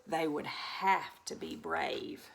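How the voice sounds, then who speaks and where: A woman reading a rhyming children's storybook aloud as an expressive narrator. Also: low-pitched, slow pace, gravelly. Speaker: storybook reader.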